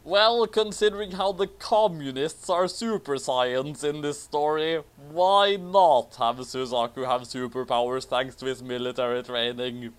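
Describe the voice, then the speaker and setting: A man's voice: a little high, measured pace, few pauses, clean tone. A man reading a story aloud with casual, amused commentary. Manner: amused, prone to laughing. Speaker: reader of a fan fiction commentary.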